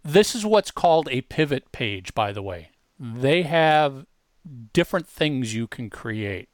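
A man's voice: medium pitch, clean tone, no room sound. A man hosting a casual screen-share tutorial, explaining things informally step by step. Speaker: host demonstrating a music marketing tool.

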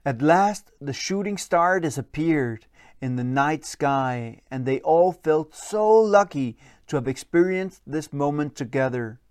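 A storyteller narrating a children's bedtime story in an expressive, deliberate way. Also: mid-range voice, no room sound, studio quality.

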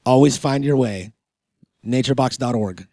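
A man's voice: mid-range voice, measured pace, dark tone, dry recording, studio quality. A man hosting a comedy podcast in casual chat, joking, profane and rambling. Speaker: podcast host.

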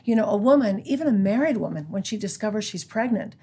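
A woman's voice: mid-range voice, expressive, fast, warm tone, slightly rough. An American woman in her sixties, a guest who leads a pro-life group, talking earnestly in an interview and quoting scripture. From United States. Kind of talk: conversational interview.

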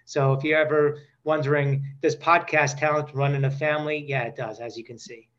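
A man in his forties with a fairly high voice hosts a comedic interview show. He jokes at his own expense as he banters. The recording sounds a bit dull and muffled. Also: fairly even intonation, fairly quick, fairly bright tone. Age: 40s. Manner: self-deprecating, joking. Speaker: podcast host.

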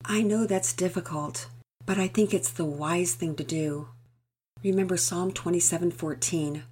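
A woman voicing a steady, kind father who gives calm, measured advice. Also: lowish voice, slight background noise, natural voice. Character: steady, kind father, middle-aged man.

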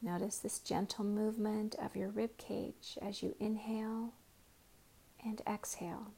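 Slow, breathy woman guiding meditation. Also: medium pitch, level tone. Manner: slow, soft, often whispered guidance. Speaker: guided meditation teacher.

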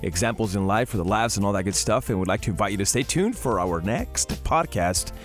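A man's voice: on the low side, animated, a little fast, soft background music. A man co-hosting a parenting podcast, affirming guests and reading the sign-off. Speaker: podcast co-host.

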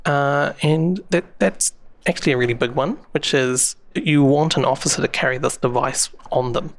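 A man's voice: a little high, moderate pace. A man with a New Zealand accent, answering interview questions in an explanatory way, with many fillers and restarts. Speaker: interview guest, public safety software expert.

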